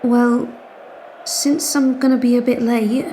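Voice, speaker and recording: A timid wife and mother in an audio drama, with a high voice. She is hesitant, apologetic and soothing, with faint noise behind her. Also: level tone, slow, dark tone, poor audio. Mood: anxious, placating. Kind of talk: naturalistic audio drama acting.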